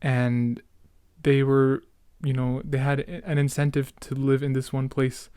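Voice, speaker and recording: Young man with a smooth, clear voice. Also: mid-range voice, monotone, a little slow, mellow, no echo, clean studio sound. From Canada. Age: late teens, young adult. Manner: rambling, self-interrupting, youthful slang. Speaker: podcast host. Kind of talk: casual solo chat, reminiscing.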